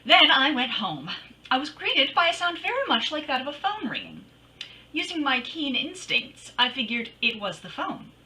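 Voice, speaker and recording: A woman's voice: high voice, big pitch swings, normal speed, sharp tone, full character voice. A woman playing a wisecracking, breezy female private detective in old-time radio style, with wry, slangy delivery. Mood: wry narration. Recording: distant microphone.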